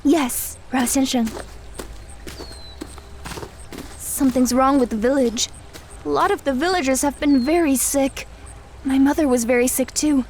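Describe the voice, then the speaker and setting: A woman's voice: high voice, normal speed, darkish tone, a little hiss or hum. A woman playing a polite, earnest and proud young female martial artist in an audio drama. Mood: concerned.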